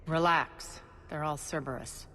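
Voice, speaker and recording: Cold bossy alien crime queen, low voice, game noise behind her. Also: a little slow, fairly bright tone. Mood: dry. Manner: imperious, menacing, clipped. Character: ruthless, commanding female alien crime boss, cold and sardonic. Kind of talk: video game voice acting. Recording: noisy game sound effects behind.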